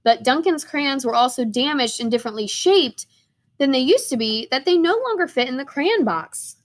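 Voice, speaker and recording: A woman's voice: high voice, normal speed. Woman teacher reading aloud. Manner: animated storytelling. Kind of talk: picture-book read-aloud.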